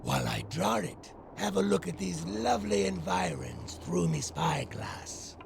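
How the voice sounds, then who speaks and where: An actor playing a scruffy, bargaining pirate castaway in a video game, with a gravelly voice and old-fashioned pirate dialect. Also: male voice, high voice, huge pitch swings, a little slow, noisy background, heavily acted. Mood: gruff, bargaining. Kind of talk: video game character acting.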